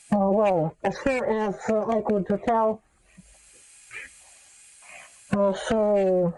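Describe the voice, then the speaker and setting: A woman giving a casual, unscripted gadget demonstration, speaking in a halting, hesitant way with many filler pauses. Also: a little high, dark tone, rough recording. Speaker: host demonstrating an electronic gadget.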